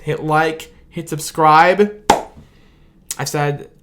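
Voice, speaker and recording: A man's voice: a little high, fairly even intonation, extremely slow, background noise. Exhausted young man losing his voice. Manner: rambling, repetitive, grateful sign-off. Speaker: sports fan video host. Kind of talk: late-night post-game reaction monologue. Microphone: camera mic with his own light.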